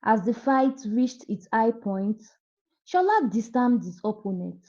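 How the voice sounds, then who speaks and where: A woman's voice: a little high, lively intonation, unhurried, darkish tone, lo-fi sound. A woman narrating a scripted folktale as a storyteller.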